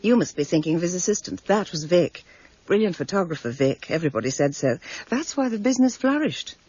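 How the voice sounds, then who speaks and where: A woman's voice: on the low side, expressive, fairly quick, a little mellow, slightly rough, muffled recording. An actress in a radio drama playing a chatty, genteel, gossipy woman who owns a bookshop. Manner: gossipy, fussy, digressive. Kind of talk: 1990s radio drama acting.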